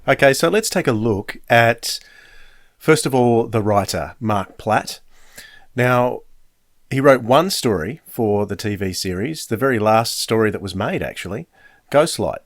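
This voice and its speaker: A man hosting a review podcast, giving conversational, measured and explanatory opinions. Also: mid-range voice, expressive, dry recording, studio quality. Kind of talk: spoken review, conversational commentary.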